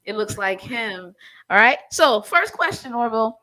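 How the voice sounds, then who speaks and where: A woman's voice: on the high side, expressive, moderate pace, sharp tone, low-quality recording. A woman hosting a live-streamed interview, speaking in a chatty, encouraging way. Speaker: host.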